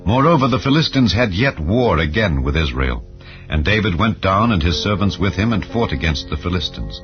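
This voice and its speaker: A man with a low, deep voice reads scripture as an audiobook narrator. His delivery is solemn, measured and declamatory, shaped for archaic English. The recording is slightly dull and lo-fi, with soft music playing underneath. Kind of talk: solemn dramatized scripture reading. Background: background music.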